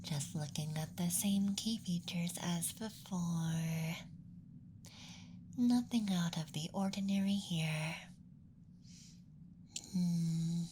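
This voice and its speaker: A man voicing a playful young alien abductor in a whispered ASMR roleplay, speaking softly in a teasing, clinical manner. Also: high-pitched, slow, long pauses, slight background noise. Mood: curious, clinical.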